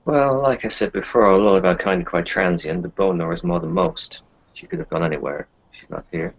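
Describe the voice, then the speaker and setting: A man playing a worldly, wry doctor who gives frank, slightly condescending advice in tabletop roleplay, with a gravelly, husky voice. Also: sits low, big pitch swings, brisk, heavily acted. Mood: matter-of-fact. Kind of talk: improvised tabletop roleplay dialogue. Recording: dull lo-fi voice recording.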